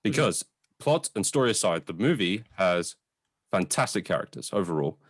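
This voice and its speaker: A man's voice: sits low, sing-song, normal speed, no room sound, clean studio sound. A man hosting a podcast, chatting casually and enthusiastically about a film in an informal way. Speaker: podcast host. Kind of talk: casual film review chat.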